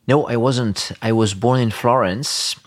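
A middle-aged man raised in Tunisia, a winemaker guest in an interview, speaking haltingly with many pauses and fillers. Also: mid-range voice, no room sound. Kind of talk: interview conversation.

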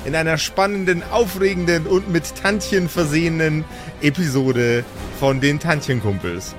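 A man speaks as host in a fairly high voice. He improvises a show introduction with music clearly playing behind him. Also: moderate pace.

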